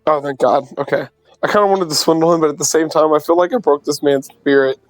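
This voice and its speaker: A man's voice: on the high side, fast talker. A young man playing in a tabletop game, easygoing and joking. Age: young adult. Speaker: player. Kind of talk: casual tabletop play.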